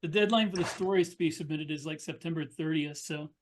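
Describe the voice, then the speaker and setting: A man with a somewhat high voice talks informally as a podcast panelist. His delivery is halting, and he often repeats words while forming a thought. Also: a little hiss or hum. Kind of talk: casual discussion.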